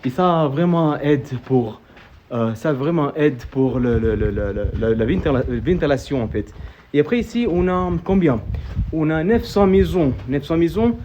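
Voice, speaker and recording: A man's voice: fairly high pitch, lively intonation, normal speed, mellow. A man from Saudi Arabia whose first language is Arabic, guiding a tour in French with an Arabic accent, hesitant, full of fillers and repeated words, recorded outdoors. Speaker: local tour guide vlogger. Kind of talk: casual guided-tour explanation. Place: outdoors at historic old town. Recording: dull, slightly noisy outdoor audio.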